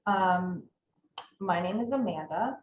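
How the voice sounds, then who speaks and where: A woman's voice: lowish voice, slow, narrow, tinny audio. A woman in her forties opens and runs a casual podcast chat. She hesitates a lot, filling gaps with ums. The room sounds echoey, and there is a faint background hum. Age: 40s. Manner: hesitant, many filler ums. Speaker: podcast host.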